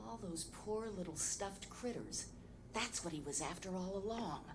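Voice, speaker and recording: A woman's voice: on the low side, lively intonation, moderate pace, background noise. An actress playing a sly, flirtatious woman cat burglar with a sultry, teasing delivery.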